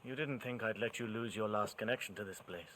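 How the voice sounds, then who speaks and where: An actor playing a loyal, wry adventuring companion in a video game, speaking earnestly with dry humor. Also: male voice, lowish voice, brisk, a bit bright, a little hiss or hum, close to an everyday voice. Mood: worried, resolute. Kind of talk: dramatic video game acting.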